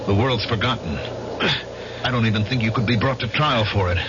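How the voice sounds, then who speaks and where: An American actor playing an insistent veteran confronting old comrades in an old-time radio drama. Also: male voice, on the low side, fairly quick, natural voice. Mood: firm. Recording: dull, noisy old radio recording.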